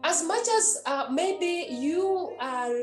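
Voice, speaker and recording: Nun, high voice, earnest and repetitive preaching to youth; roomy echo, faint music underneath. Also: female voice, lively intonation, takes it slowly. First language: a Kenyan language. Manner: earnest and repetitive, with many hesitations. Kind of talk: exhortation to young people.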